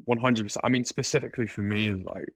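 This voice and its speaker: A man's voice: fairly low pitch, fairly even intonation, fast, breathy edge, no room sound. A man from London with a London accent, a podcast guest talking casually, with many restarts and fillers. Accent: London English. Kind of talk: casual interview chat.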